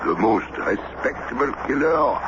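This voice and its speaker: An actor in a radio drama playing a wry, unflappable French police commissioner with a deliberate, officious delivery. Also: male voice, mid-range voice, animated, lightly acted. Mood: wry, unbothered. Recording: dull old radio with clear noise.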